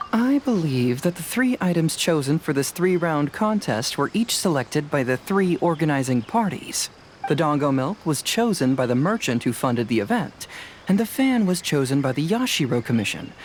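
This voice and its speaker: A woman playing a calm, confident young detective in a video game, speaking in a composed, articulate, deliberate way. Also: low voice, a little hiss or hum.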